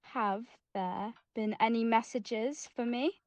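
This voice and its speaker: Actress as a high-voiced defiant young woman. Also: slow pace, a little mellow, no room sound. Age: young adult. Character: defiant, anxious eighteen-year-old daughter of rich family. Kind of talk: audio drama acting. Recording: slightly muffled home recording.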